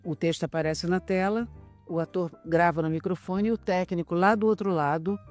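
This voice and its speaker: A Brazilian woman from a radio drama cast, explaining the production in measured interview talk. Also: on the low side, fairly even intonation. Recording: music behind the voice.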